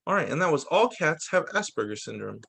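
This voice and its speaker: Animated male teacher reading aloud, fairly high voice. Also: a little fast, vocal fry, husky. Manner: expressive reading with short spoken asides. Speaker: teacher reading picture books to pupils. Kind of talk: read-aloud story time for children.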